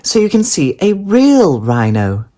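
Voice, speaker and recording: An adult storyteller voicing a brisk, incredulous mother in a children's picture book. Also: mid-range voice, huge pitch swings, dark tone, pitched above the performer's own voice.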